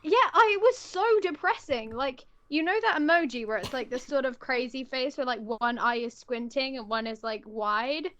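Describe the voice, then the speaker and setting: High-ish voiced woman laughing a lot while chatting on stream, kinda muffled lo-fi audio. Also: animated, normal speed, few pauses, a bit bright, a little hiss or hum. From born in the United States, lives in England. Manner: chatty, giggly, lots of fillers. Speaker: co-host. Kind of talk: casual stream chat.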